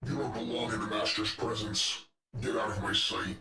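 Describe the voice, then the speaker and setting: Guy doing a cocky evil robot henchman for a game, taunting and fiery, bright hard voice, echoey and off the mic. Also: lowish voice, steady tone, normal speed, distant mic, a little hiss or hum. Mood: contemptuous. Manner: taunting, smug, condescending. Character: menacing arrogant male robot henchman, fiery and sadistic. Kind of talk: video game villain voice lines. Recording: echoey room, off the microphone.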